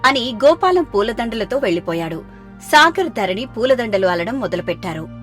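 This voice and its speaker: Woman narrator, high pitch, scripted family storytelling, background music. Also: big pitch swings, normal speed, a bit bright. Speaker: story narrator voicing characters.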